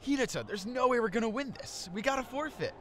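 A man voicing an anxious, high-strung young sports player in a comedic anime parody dub. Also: lively intonation, quick pace, a bit bright, faint music behind. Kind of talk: comedic fan-dub voice acting.

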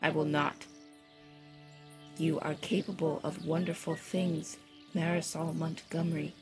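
An actress playing a serene, all-knowing stranger in an audio drama, speaking in measured, formal, solemn phrases, kind but unyielding. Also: female voice, deep voice, little pitch movement, faint music behind. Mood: firm, kind. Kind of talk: audio fiction drama acting.

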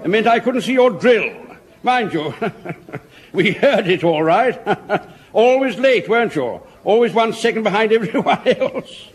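A jeering, cackling air-raid warden. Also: male voice, high-pitched, expressive, unhurried. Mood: mocking. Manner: mocking, cackling, cheeky. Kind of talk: 1970s radio sitcom acting.

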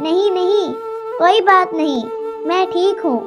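A woman's voice: very high voice, unhurried. A woman voicing a kind, chatty little female bird in a children's cartoon, with music playing behind. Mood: polite.

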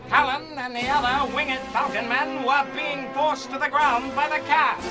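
Bombastic 1940s-style serial announcer, played by a man, very high, drawn-out delivery over loud music. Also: poor audio. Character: bombastic old-time radio serial announcer. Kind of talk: 1940s radio serial narration.

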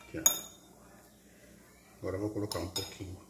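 A man's voice: low voice, little pitch movement, brisk, noisy background. A man cooking in his home kitchen, walking through each step with homely, diminutive-laden talk into a camera above the counter. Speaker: home cook, husband of the channel host. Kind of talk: casual cooking vlog narration. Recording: echoey kitchen with loud clatter of dishes.

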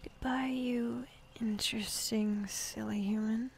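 A woman playing a bossy, jaded female demon in an ASMR roleplay, speaking softly and often half-whispering, with dismissive sighs. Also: fairly high pitch, steady tone, takes it slowly, vocal fry, a little hiss or hum. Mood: aloof, softening.

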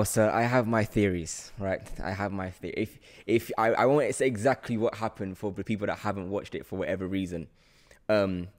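A young man in his twenties with a slightly low voice. He chats as a podcast host, playful and informal, close to the microphone. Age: 20s. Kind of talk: casual interview chat. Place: indoor studio room at night. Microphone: podcast microphone on boom arm, close.

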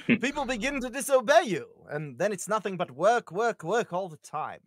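Man playing a slow, mocking pirate rogue. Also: sits high, big pitch swings, dry recording, studio quality, exaggerated voice. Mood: cynical. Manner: sardonic, theatrical. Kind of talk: live table read of a film script. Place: indoors, on a group video call.

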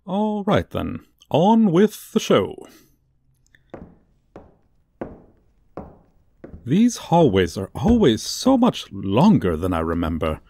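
A man playing a theatrical, sardonic supervillain posing as a tour guide in a comedic audio roleplay, with showy drawn-out emphasis and dry asides. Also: medium pitch, a little mellow, no echo, full character voice. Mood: wry, mock-polite. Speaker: voice actor. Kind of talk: comedic ASMR roleplay monologue.

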